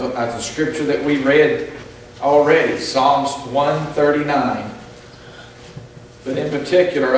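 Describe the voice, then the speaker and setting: Middle-aged Southern preacher in an echoey church. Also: male voice, medium pitch, a little hiss or hum. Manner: earnest, measured preaching with pauses. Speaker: pastor. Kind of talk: sermon. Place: large church sanctuary, at a pulpit. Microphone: pulpit mic, filmed from far back. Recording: echoey church hall from far off.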